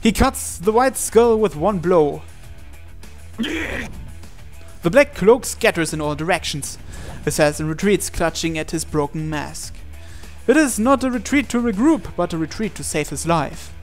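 Man, high voice, dramatic read-aloud narration, gaming let's play, music behind. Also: animated, unhurried. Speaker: let's player reading a visual novel aloud.

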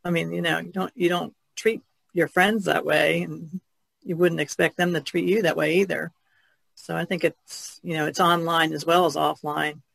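A woman's voice: lowish voice, gravelly, breathy edge, no echo. A woman chatting informally in a podcast discussion, often adding "you know".

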